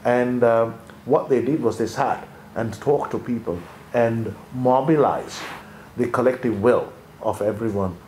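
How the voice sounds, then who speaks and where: A man's voice: medium pitch, unhurried, a little mellow, roomy sound, a little hiss or hum, poor audio. A man from Sri Lanka, a former accountant, talking through business ideas in a thoughtful way and often restarting his sentences. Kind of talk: conversational leadership discussion.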